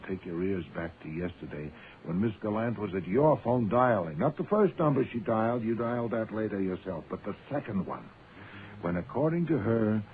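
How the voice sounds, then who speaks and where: A man's voice: on the low side, natural voice. A man playing an imperious, proud genius detective in a radio drama, with pompous, precise, formal diction. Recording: muffled, noisy phone-like old radio sound.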